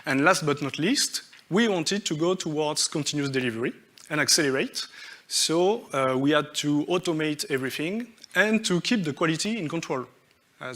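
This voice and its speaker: A man giving a conference talk on stage, halting with many fillers and restarts as he lists his points. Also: on the high side, pushed and bright. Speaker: conference speaker.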